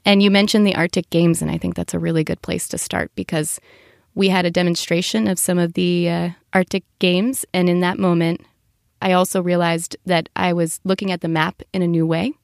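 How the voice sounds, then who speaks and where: Interviewer: young woman, conversational, fry-edged voice, recorded close and dry. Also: fairly low pitch, dry recording. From half American, half Danish background. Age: young adult.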